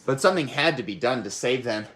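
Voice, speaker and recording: A person reading a children's picture book aloud in an animated way, with expressive asides to young listeners. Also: medium pitch, fast talker, a little hiss or hum. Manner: expressive, asks listeners questions and comments in asides. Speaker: storybook reader.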